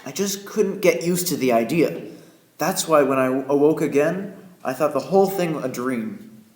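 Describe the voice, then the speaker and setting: A man's voice: fairly high pitch, expressive, normal speed, lightly acted. Folksy boastful Yankee storyteller played by a man. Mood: wry, recounting. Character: practical, boastful Yankee factory superintendent telling his tale. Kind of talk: old-time radio play narration. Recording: roomy sound under loud piano music. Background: piano music.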